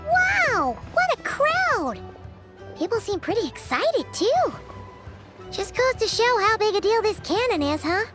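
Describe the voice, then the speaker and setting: A woman's voice: very high voice, big pitch swings, measured pace, loud music behind the voice, exaggerated voice. A woman voicing a small, cheerful, childlike fairy companion in a video game, perky and exclamatory. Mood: bright, friendly. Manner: perky, exclamatory, speaks of self in third person.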